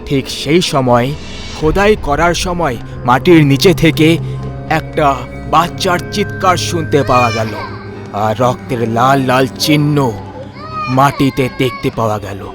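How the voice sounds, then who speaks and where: A man's voice: mid-range voice, unhurried, over music. A man narrating a scripted horror story as its storyteller.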